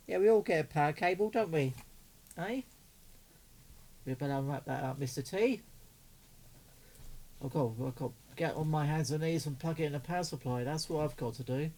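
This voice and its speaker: A man's voice: fairly high pitch, normal speed, long pauses, darkish tone, low-quality recording. An enthusiastic, chatty man, giving casual unboxing commentary as a hobbyist video host.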